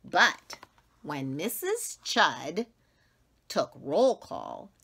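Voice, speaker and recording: A woman reads a children's story aloud like a teacher, dramatic and emphatic with deliberate pauses. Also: sits low, huge pitch swings, bright, forceful tone, slightly rough. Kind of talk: expressive storybook read-aloud. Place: small indoor room with wall boards.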